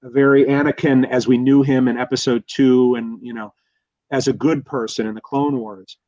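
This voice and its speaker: Male guest expert, mid-pitched, analytical but casual, circles back on his words, muffled lo-fi sound. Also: fairly even intonation, darkish tone, no room sound. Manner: halting, repeats words while thinking aloud.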